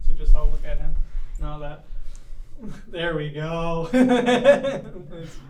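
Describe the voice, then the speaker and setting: A man's voice: fairly high pitch, expressive, slow, a little mellow. A man conducting an interview from off-camera, giving light, laughing prompts. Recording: roomy, distant, faint ambience.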